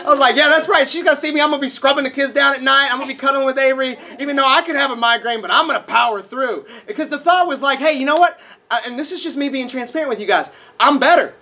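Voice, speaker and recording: A young man preaching a sermon in a dark auditorium, a little nasal from a cold, animated and acting out voices in his stories. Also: extremely high pitch, quick pace. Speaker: pastor. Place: dark auditorium with projected screen.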